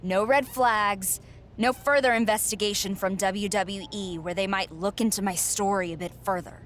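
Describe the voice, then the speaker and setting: A woman's voice: medium pitch, steady tone, normal speed, fairly bright tone, slight background noise, natural voice. A woman playing a defiant, determined female pro wrestler in a video game cutscene, speaking in a firm, confrontational way.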